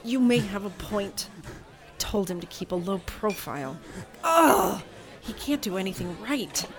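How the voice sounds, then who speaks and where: A woman's voice: mid-range voice, animated, unhurried, lightly acted. A woman voicing a brusque, impatient young woman making a secret deal in an audio drama. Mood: curt. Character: curt, scheming young woman on the run.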